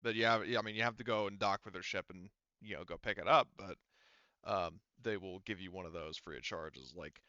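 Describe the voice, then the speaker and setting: A man acting as game master, improvising narration for a tabletop roleplaying game with halting, hesitant phrasing. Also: low voice, pushed and bright, dry recording, lo-fi sound.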